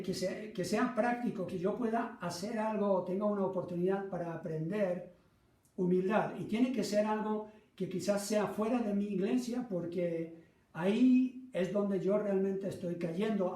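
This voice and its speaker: A man's voice: high voice, dark tone. A middle-aged man with a Latin American Spanish accent preaching through personal stories in an earnest, self-deprecating manner. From Argentina, raised by North American parents. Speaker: pastor. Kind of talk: sermon with personal anecdote. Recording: roomy echo, distant microphone.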